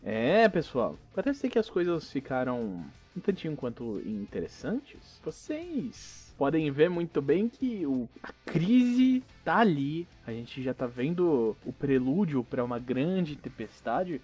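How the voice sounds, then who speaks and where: A man's voice: fairly high pitch, very animated, takes it slowly, few pauses, darkish tone, slightly rough, soft background music. A man with a Brazilian accent, casually narrating and hosting a tabletop role-playing podcast as game master.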